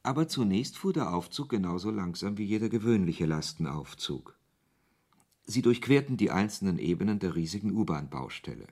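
A man's voice: sits low, very animated, normal speed, warm tone, exaggerated voice. A man reading scripted literary narration as the narrator of a radio play. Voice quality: husky.

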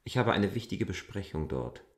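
A man's voice: low voice, sing-song, normal speed. Brusque, self-assured man in radio drama. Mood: evasive, polite. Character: impatient, self-assured male land surveyor, newcomer to a village. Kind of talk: German literary radio drama acting.

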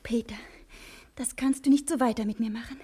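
A woman's voice: on the high side, steady tone, a little fast, mellow, husky. A woman playing a worried, reproachful wife in a German radio crime-comedy serial, with a plaintive, sighing, dramatic delivery. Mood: anxious, reproachful.

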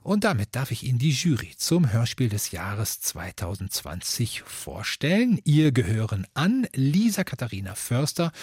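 A man's voice: medium pitch, a little mellow, husky, dry recording, clean studio sound. A man moderating an awards programme in a scripted radio presenting style. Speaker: host and moderator of an award podcast.

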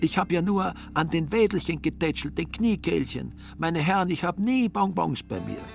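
Man acting out a frantic, high-wailing dying old uncle. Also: lively intonation, moderate pace, vocal fry, soft background music. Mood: frantic denial. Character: delirious dying old uncle, bellowing guilty denials. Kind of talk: literary radio drama.

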